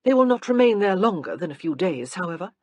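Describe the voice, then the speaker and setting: A woman plays a kindly middle-aged duchess who explains family matters gently. Her storybook voice moves a lot in pitch, slightly husky and dry. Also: sing-song, fast talker, no room sound, highs cut off. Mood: reassuring.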